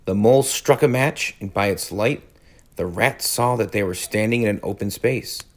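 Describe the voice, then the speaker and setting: A man's voice: on the low side, moderate pace. A man reading a story aloud as a teacher, adding informal explanatory asides.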